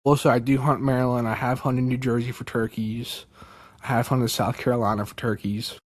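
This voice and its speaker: Excited young man telling a hunting story. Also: medium pitch, fairly quick. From Delaware. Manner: animated, many fillers like "like" and "dude". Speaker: podcast guest. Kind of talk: casual storytelling.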